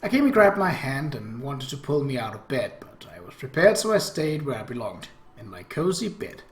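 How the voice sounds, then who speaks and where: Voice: mid-range voice, very animated, normal speed, echoey room. A game host giving casual, wry commentary and reading a visual novel story aloud, with hesitant asides. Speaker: let's play host.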